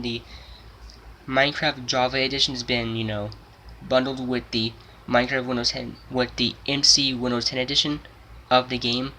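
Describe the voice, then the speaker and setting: A man's voice: mid-range voice, pushed and bright, a little hiss or hum, rough recording. A seventeen-year-old young man talking casually to his channel, rambling and repeating himself with many restarts. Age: 17, about to turn 18. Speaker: gaming channel host. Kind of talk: casual unscripted monologue.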